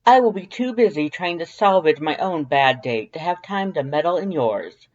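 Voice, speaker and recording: A woman's voice: low-pitched, lively intonation, moderate pace, a little mellow, dull audio. A woman voicing a prissy, verbose male skeleton in an audio drama, with a formal, grandiose, carefully enunciated delivery. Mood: prim, teasing. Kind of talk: fan fiction audio drama acting.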